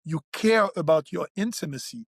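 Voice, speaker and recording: A man with a fairly high voice, speaking English as a second language. He explains things earnestly as a doctor hosting a health podcast and often restarts his sentences. The sound is dry and close. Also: expressive, moderate pace, gravelly, breathy edge, dry recording. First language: French or Creole. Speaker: physician podcast host.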